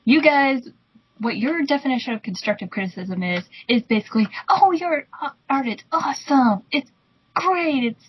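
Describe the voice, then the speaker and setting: A woman's voice: high voice, animated, moderate pace, slightly rough. A woman giving casual, blunt, opinionated commentary through a dull lo-fi microphone.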